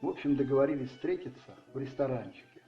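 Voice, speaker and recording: A male narrator tells a dramatic story for a radio play. His voice is middle-pitched and reflective, with expressive pauses and musing asides. The recording is a little muffled, with music playing beneath him.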